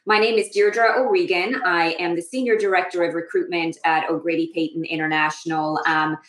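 An Irish woman with a fairly low voice talking on an online panel. She speaks in a friendly way with frequent fillers. Her voice carries the echo of a roomy space. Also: steady tone, fairly quick, fairly bright tone. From Ireland. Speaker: recruitment director, panel guest.

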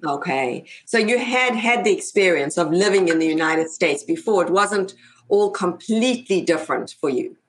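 A woman's voice: on the low side, lively intonation, normal speed. A woman hosting an online interview show, talking upbeat with frequent fillers. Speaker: host. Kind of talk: hosted webinar interview.

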